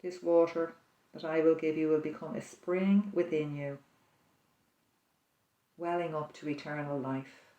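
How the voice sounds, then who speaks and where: A speaker voicing a calm, compassionate male stranger at a well, speaking in a quiet, relaxed way in a guided prayer. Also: medium pitch, measured pace, lightly acted. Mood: gentle. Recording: roomy echo, distant mic.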